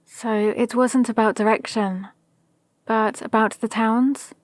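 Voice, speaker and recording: Woman doing a villainess mentor for asmr roleplay, slow and deliberate, highish voice, gentle but menacing, power hungry. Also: steady tone, no room sound. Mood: probing, composed. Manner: measured, deliberate, formal. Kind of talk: scripted ASMR roleplay monologue.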